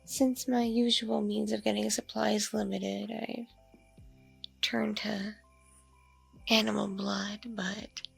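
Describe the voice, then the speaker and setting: A woman playing a seductive, hungry mature vampire mother in an intimate ASMR roleplay, teasing and condescending, breathy and slipping into whispers. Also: mid-range voice, a bit bright, heavily acted. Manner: slow, teasing, hesitant hums and pauses.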